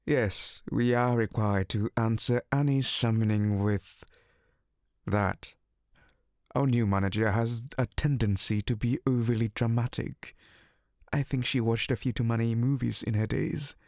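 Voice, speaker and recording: Male VA as a sarcastic incubus demon for ASMR audio, slow and gravelly, dry close mic. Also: medium pitch, steady tone, darkish tone, no echo, close to an everyday voice. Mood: sarcastic, exasperated. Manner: theatrical, sarcastic and exasperated. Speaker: ASMR roleplay voice actor.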